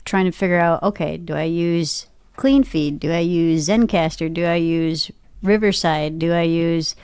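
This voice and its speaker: A woman's voice: lowish voice, fairly even intonation, unhurried, a little mellow, clean tone, no echo, clean studio sound. A woman chatting casually and informally as an interview guest. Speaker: guest, voice actor and podcaster.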